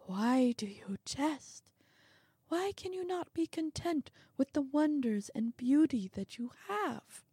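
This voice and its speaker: A woman voicing a small, anxious man who warns and pleads in a soft, high voice. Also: lively intonation, slow, no room sound, studio quality, heavily acted. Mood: warning.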